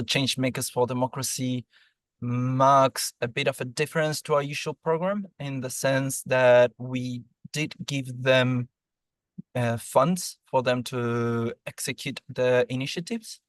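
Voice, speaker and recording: A man's voice: mid-range voice, no echo. Argentine man, dry close sound. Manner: measured, with filler ums. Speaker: program lead. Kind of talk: interview answers.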